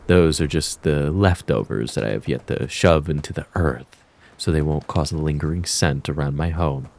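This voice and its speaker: A man voicing a menacing, mocking kitsune fox spirit in an ASMR roleplay, taunting and theatrical with a drawn-out predatory purr. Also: very deep voice, a little mellow. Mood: cold threat.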